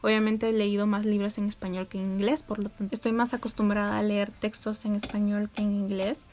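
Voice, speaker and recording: A woman's voice: medium pitch, flat delivery, fairly quick, darkish tone. A young woman, chatting casually and upbeat to her viewers at home. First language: Spanish. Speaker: vlogger. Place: home room with neighbors' animals outside.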